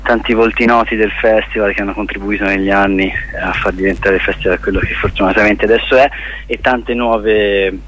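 A man's voice: fairly low pitch, steady tone, brisk, fairly bright tone, low-quality recording. A man giving a casual interview as a guest, circling back and repeating his phrases as he explains. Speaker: festival organizer, interview guest.

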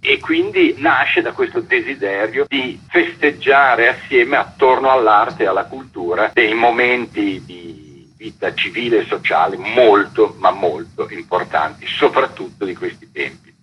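A man with a somewhat low-pitched voice speaks as a festival organiser being interviewed for radio. He explains things at length, answering as a theatre maker would. A slight noise sits behind his voice. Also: big pitch swings, a little slow, few pauses, muffled recording. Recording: faint background noise.

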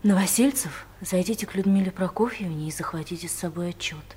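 A woman's voice: fairly low pitch, fairly quick, very noisy, natural voice. An actress playing a gossipy, mocking female office secretary with a knowing, teasing manner, in a Soviet radio comedy. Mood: businesslike, teasing.

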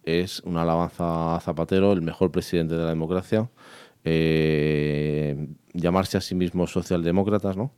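A man's voice: low-pitched, steady tone, normal speed, darkish tone, smooth, no echo, studio quality. A man moderating a radio panel discussion, probing and challenging the guests, often dropping in short acknowledgements.

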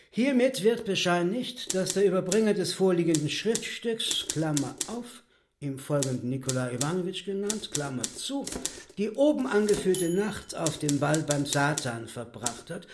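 An actor playing an officious demonic talking tomcat in a radio drama, dictating in pompous bureaucratic tones with clattering office noise. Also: male voice, fairly high pitch.

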